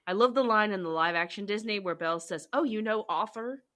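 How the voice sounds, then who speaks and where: A woman's voice: mid-range voice, a little fast, fairly bright tone. A woman co-hosting a casual conversation about books. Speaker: podcast co-host. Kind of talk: casual book discussion.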